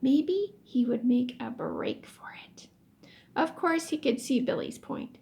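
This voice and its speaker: A woman reading a children's book aloud expressively, as a teacher would, pausing to explain words. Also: on the high side, creaky. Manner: animated storytelling, pauses to explain words.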